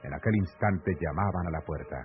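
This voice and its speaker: A man's voice: sits low, a little fast, lightly acted. A man with a Mexican accent narrating an old radio serial as a solemn, dramatic storyteller. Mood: suspenseful. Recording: tinny old AM radio, music behind.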